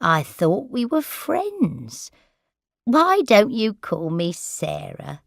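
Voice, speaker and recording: A muddled elderly woman, read by a woman narrator drifts from one thought to the next at an unhurried crawl. Her voice rises and dips freely. The recording is dry and close. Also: medium pitch, wildly swooping pitch, vocal fry, no echo, full character voice. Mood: bemused. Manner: slow, wandering, confused speech.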